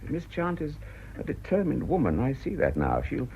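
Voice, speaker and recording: An actor playing an earnest, careworn ageing cathedral canon in a British radio drama, with an English accent and formal, measured clerical diction. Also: male voice, medium pitch, wildly swooping pitch, a little mellow, slight background noise. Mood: troubled, weary. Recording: muffled old radio broadcast.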